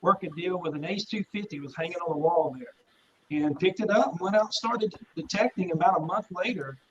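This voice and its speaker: A man's voice: sits high, brisk, a bit bright, lo-fi sound. Folksy Texan man, faint background hiss. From Texas. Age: middle-aged. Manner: rambling, folksy storyteller. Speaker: guest. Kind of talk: casual interview chat.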